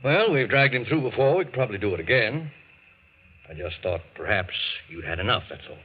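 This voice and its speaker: A man's voice: fairly low pitch, animated, a little hiss or hum, close to an everyday voice. Man acting firm doctor, muffled old radio. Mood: concerned, measured. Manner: brisk, commanding. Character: firm, stern, no-nonsense male doctor.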